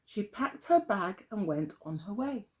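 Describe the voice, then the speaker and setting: A woman's voice: medium pitch, animated, unhurried. A woman teacher telling a story expressively to young children, phrasing carefully and putting questions to them. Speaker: early years teacher, storyteller.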